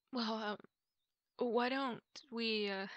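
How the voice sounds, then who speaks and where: Woman performing as apologetic, emotional girlfriend for intimate ASMR roleplay. Very slow, hesitant and soft, recorded close and dry. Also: fairly high pitch, no room sound. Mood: tender, calmer. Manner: halting, soft, breathy delivery with pauses. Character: apologetic, tearful girlfriend pleading to make up.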